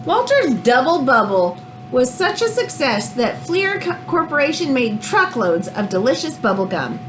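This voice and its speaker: A woman reads a children's book aloud in an expressive, teacherly way, adding explanatory asides as she goes. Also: high voice, normal speed, a little hiss or hum.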